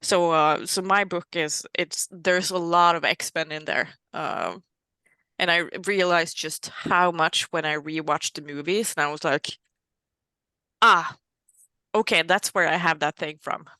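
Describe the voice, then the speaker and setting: A woman's voice: sits low, a little slow, pushed and bright, no echo. A woman from Norway with a Norwegian accent, a guest author chatting casually, with many fillers and self-mocking laughs. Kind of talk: casual interview chat.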